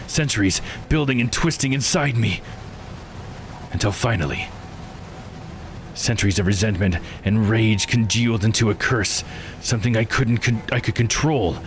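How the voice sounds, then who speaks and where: A man's voice: on the low side, normal speed, slight background noise, close to an everyday voice. A man voicing a bitter, brooding, eight-hundred-year-old immortal lord in a visual novel game, delivered with grim, dramatic solemnity. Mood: festering resentment.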